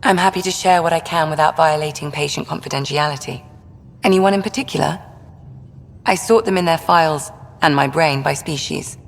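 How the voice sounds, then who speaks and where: Very low-voiced, composed alien doctor. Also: female voice, creaky, in a large hall. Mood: calm, professional. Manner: measured, professional, precise. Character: composed, discreet female alien ship's doctor. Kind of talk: video game character dialogue.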